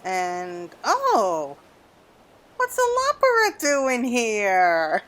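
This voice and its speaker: A woman's voice: on the high side, huge pitch swings, drawn-out words, a bit bright. A woman giving casual gameplay commentary as the host of a gaming video.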